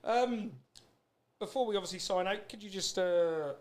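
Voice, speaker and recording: A man's voice: high voice, normal speed, a little hiss or hum. A British man hosting a podcast in a casual, rambling, anecdotal way. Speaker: podcast host. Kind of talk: casual chat.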